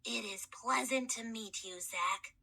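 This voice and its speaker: An ancient boy mummy, put on by a woman reading a storybook aloud, speaks in a hoarse, hushed, lowered voice. He is timid and very formal, courtly in every line, with a slow, careful delivery. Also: heavily acted. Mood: timid, polite. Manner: formal, courtly, soft-spoken. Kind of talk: audiobook reading.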